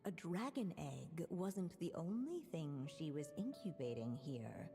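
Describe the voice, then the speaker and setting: Slow, mysterious storybook narrator. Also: female voice, sits low, big pitch swings, mellow, heavily acted. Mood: wry. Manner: measured, wry, theatrical. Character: composed, mysterious storybook narrator woman, wryly knowing. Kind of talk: scripted fairy-tale narration in a video game. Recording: long reverb, faint music behind.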